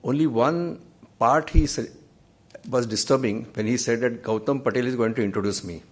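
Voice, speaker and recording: A man in his sixties with an Indian English accent, a judge giving a formal memorial lecture at a podium in a large auditorium, telling measured, wry anecdotes. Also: medium pitch. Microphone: podium microphone.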